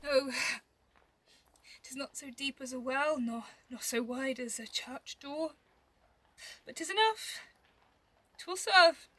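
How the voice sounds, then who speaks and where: A woman's voice: sits high, slow pace, long pauses, sharp tone, exaggerated voice. A woman playing a mortally wounded, bitterly witty young brawler in a Shakespeare audio drama, theatrical and gasping. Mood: weak, wry.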